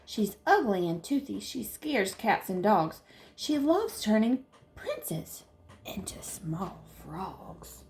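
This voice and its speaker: A woman's voice: mid-range voice, big pitch swings, slow pace, darkish tone, a little hiss or hum. A woman reading a children's storybook, voicing a dramatic female fairy who insistently makes excuses for herself. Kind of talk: children's storybook read-aloud.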